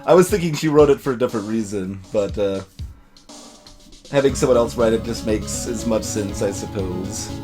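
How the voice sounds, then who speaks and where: A man's voice: lowish voice, normal speed, music in the background. A man casually commentating on a game he is playing, thinking aloud in a hesitant way. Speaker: let's play host.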